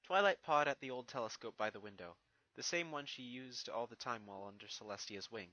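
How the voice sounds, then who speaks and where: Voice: mid-range voice, brisk, bright, forceful tone, highs cut off. A narrator reading a fan fiction story expressively from a script, with music behind. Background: background music.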